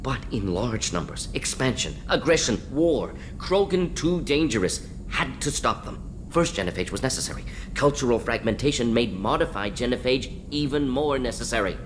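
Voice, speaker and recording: An actor playing a brisk alien scientist in a video game, speaking in clipped, telegraphic phrases, earnest and self-justifying. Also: male voice, animated, lightly acted. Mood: defensive, intense. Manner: clipped telegraphic phrases, dropping small words. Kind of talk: video game character dialogue. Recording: clear low rumbling noise.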